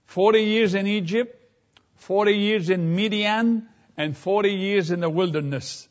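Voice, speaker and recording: A man preaching a sermon, teaching slowly and deliberately and reading scripture aloud. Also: high-pitched, lo-fi sound.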